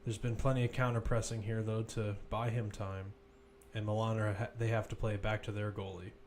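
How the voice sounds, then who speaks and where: A man's voice: lowish voice, flat delivery, a little fast, a little mellow, a little hiss or hum. A man commentating live on a football match, mixing play-by-play calls with informal, conversational analysis.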